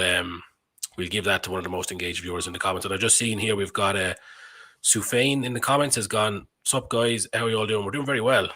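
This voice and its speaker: A man's voice: fairly low pitch, pushed and bright. A man hosting a casual live sports betting stream, talking straight to viewers, and his voice sounds nasal today. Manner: chatty, addresses viewers directly. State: nasal-sounding, has a cold. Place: in Belgium.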